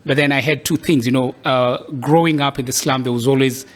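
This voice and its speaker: A man's voice: mid-range voice, steady tone, fairly quick. A man from Kenya speaks as a guest on a podcast, his voice sitting in a middle range. He tells his story in a thoughtful, reflective way, at an easy, conversational pace. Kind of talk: casual interview storytelling.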